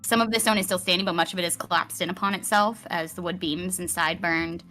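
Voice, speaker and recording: A woman game master with a bright, forceful voice, telling a fantasy tale in theatrical storyteller phrases. She addresses her players as she goes, over quiet background music. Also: fairly low pitch, steady tone, fast. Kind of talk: narrating a live tabletop roleplaying game.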